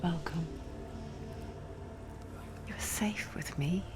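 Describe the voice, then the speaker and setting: An actress playing a hushed, knowing narrator voice in a video game, whispering slowly and intimately with a foreboding, confiding manner. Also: female voice, on the low side. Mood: intimate, welcoming. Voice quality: breathy whisper. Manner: slow, confiding, close to the listener, foreboding. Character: hushed, knowing female narrator voice inside a warrior's mind. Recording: loud music under the voice. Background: loud music.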